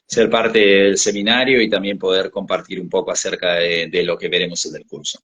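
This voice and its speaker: A middle-aged man with a Lima Peruvian accent and a fairly low voice. He talks in a conversational way, like a pastor and teacher introducing himself. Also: steady tone, a little fast, fairly bright tone, slightly rough, lo-fi sound. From Lima, Peru. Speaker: guest, theology professor and pastor.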